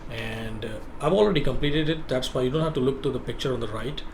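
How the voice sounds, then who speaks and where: Brief: a man talking viewers through a game, mid-pitched, halting and explanatory, with noise behind him. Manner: halting, explains step by step with fillers. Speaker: gameplay walkthrough narrator. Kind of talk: casual walkthrough commentary.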